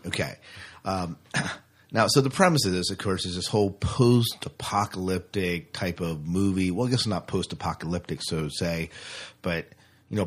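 Husky low-voiced male podcast host. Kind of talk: casual film review chat.

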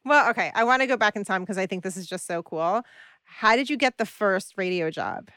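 A woman's voice: mid-range voice, a little fast, sharp tone, no echo, studio quality. A woman hosting an interview, asking enthusiastic, admiring questions in a casual conversational way. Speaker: interview host.

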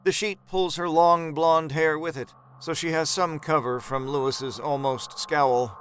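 A man narrating a horror story in a measured, suspenseful, dramatic storytelling style. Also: on the high side, steady tone, a bit bright, a little hiss or hum.

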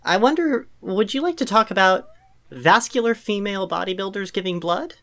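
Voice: medium pitch, lively intonation, normal speed, a bit bright. A podcast host in casual comedic chat, laughing and reacting playfully while reading listener posts.

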